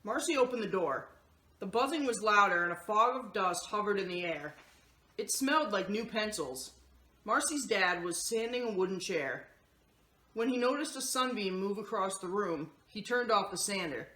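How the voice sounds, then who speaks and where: A woman's voice: medium pitch, expressive, normal speed, bright, forceful tone, reverberant. A woman hosting a children's story time, addressing young listeners and reading a picture book aloud. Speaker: children's story host and reader.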